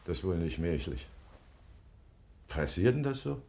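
A man acting a cynical country gentleman and registrar in a German radio drama, clipped, ironic and officious. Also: deep voice, very animated, unhurried, gravelly, exaggerated voice. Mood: sardonic.

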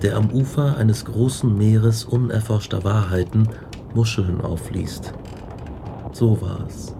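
Radio drama casting: earnest brooding scientist, low creaky voice, reflective narration. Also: male voice, background noise.

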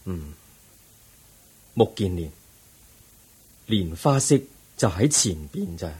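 A serene, wise holy teacher in a radio drama. His low, mellow voice is very breathy and solemn, with a Hong Kong Cantonese accent and measured delivery. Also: very animated, full character voice. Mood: calm, instructing. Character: serene, all-knowing Buddha, calm male sage.

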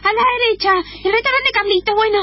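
Squeaky, anxious little ant. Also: female voice, fast talker. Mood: hesitant. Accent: Rioplatense Argentine. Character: timid, anxious, hesitant female worker ant. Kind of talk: improvised comedic radio theatre. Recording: dull lo-fi audio.

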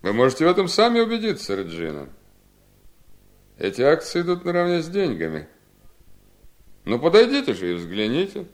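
An actor playing a bitter, resolute husband in a Soviet seventies radio drama, speaking in a deliberate, ironic, emphatic way. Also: male voice, fairly high pitch, wildly swooping pitch. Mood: grave, insistent. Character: bitter, resolute husband coolly punishing his scheming wife.

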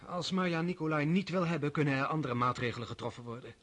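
A restrained, dutiful noble son. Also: male voice, on the high side, fast talker. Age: 30s. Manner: quiet, controlled and respectful. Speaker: son in a radio play. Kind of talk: radio drama acting.

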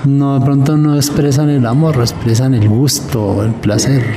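A man's voice: mid-range voice, steady tone, moderate pace. Male street interviewee, mid-range voice, casual, noisy recording. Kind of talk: casual vox-pop answers. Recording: noisy ambient background.

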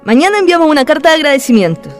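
A polite, eager young student played by a woman in a radio drama. The voice is high, with faint music behind it. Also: sing-song, rapid-fire. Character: polite, keen young female history student. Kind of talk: amateur educational radio drama.

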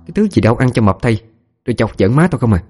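A man's voice: medium pitch, big pitch swings, racing, darkish tone, slightly rough, soft background music, voice pushed up. A man voicing a grumpy, spiteful young woman, whiny and snappish, with a Southern Vietnamese accent in an audio story. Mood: contemptuous.

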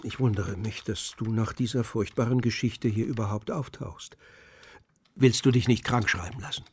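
Husky middle-aged professor boss, formal and oh so concerned, radio crime play. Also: male voice, lowish voice, normal speed, darkish tone. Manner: formal, solicitous. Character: solicitous, formal middle-aged male academic superior. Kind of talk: radio crime drama acting.

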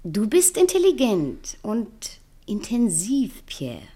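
An actress playing a cool, sharp-witted, mocking woman lover in a German radio play, teasing, blunt and provocative. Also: mid-range voice, wildly swooping pitch, slow, breathy edge, full character voice. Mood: cool, probing.

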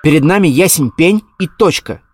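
A man voicing a small, hot-tempered teddy bear who is cocky, mocking and quick to shout. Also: on the high side, lively intonation, slightly rough, soft background music, voice pushed up.

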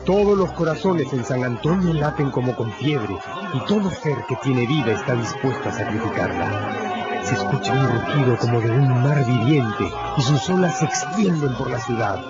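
A man narrates a radio novel in a solemn, dramatic style with emphatic, deliberate phrasing. His mid-pitched voice sits on a dull recording, with loud music behind it. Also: animated.

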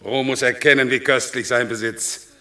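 An actor playing a solemn, generous Roman general in a classical verse radio drama, declaiming ceremoniously. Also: male voice, mid-range voice, steady tone, normal speed, pushed and bright, lightly acted. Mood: insistent, earnest.

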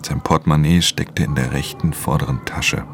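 Brooding crime-drama thief: extremely low, creaky voice, guarded and world-weary, intimate narration over music. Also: male voice, steady tone, moderate pace. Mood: brooding, tense. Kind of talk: intimate first-person radio drama narration.

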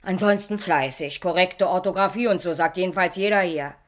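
An actress playing a blunt, chatty, grumpy secretary in a German radio drama, talking in clipped colloquial phrases. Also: female voice, lowish voice, fairly quick, natural voice. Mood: grumpy, frank.

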